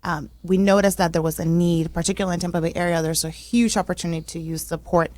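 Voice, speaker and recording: A woman's voice: on the low side, steady tone, fast, a little mellow, dry recording. A young woman from Puerto Rico, a business consultant who is a guest on a talk show, talking in a friendly way and laughing often. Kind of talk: casual interview talk.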